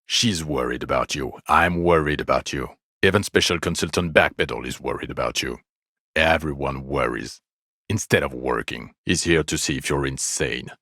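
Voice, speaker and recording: A male actor playing a bitter, exasperated, foul-mouthed police detective in a video game, scolding with weary sarcasm and contempt in a gravelly voice. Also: extremely low pitch, moderate pace, sharp tone, dry recording, clean studio sound, exaggerated voice. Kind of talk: video game character dialogue.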